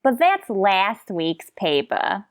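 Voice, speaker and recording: A woman's voice: medium pitch, big pitch swings, takes it slowly, no echo, clean studio sound. A woman playing a skeptical, sassy tavern wisecracker in an old-time radio comedy.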